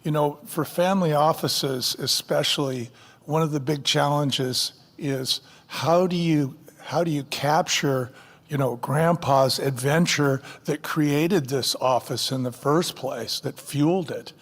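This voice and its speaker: A man's voice: on the high side, a little slow, in a large hall. An older man, a company co-founder, speaking emphatically in an interview like a seasoned salesman. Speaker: co-founder guest. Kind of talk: interview, persuasive pitch.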